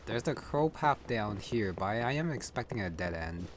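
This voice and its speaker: A man's voice: lowish voice, animated, normal speed, noisy background. A man giving casual, hesitant game playthrough commentary, rambling and thinking aloud as he goes. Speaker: gaming video creator.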